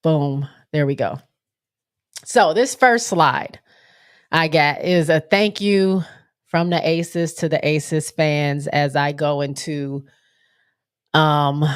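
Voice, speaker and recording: A woman hosting a live stream, chatting casually about basketball in a relaxed, slangy way with plenty of fillers. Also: low-pitched, clean tone, no echo, clean studio sound. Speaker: livestream host.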